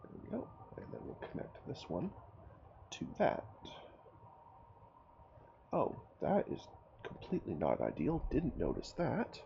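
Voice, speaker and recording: A man with a cold, his throat sore and his voice raspy, casually commenting on his own game as he builds and thinks aloud. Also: mid-range voice, huge pitch swings, measured pace, long pauses, dull audio, slight background noise. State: hoarse from a cold. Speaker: gameplay commentator. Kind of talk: casual let's-play commentary.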